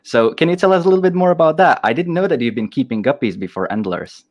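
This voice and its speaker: A man's voice: a little high, lively intonation, fast talker, muffled recording. Eager man hosting a livestream. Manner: enthusiastic, frequent restarts. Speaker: host. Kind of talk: casual livestream talk.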